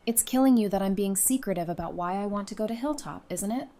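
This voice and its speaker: A woman's voice: medium pitch, fast, dark tone, natural voice. A woman playing an affectionate, playful survivor in an audio drama, casual and teasing in her delivery.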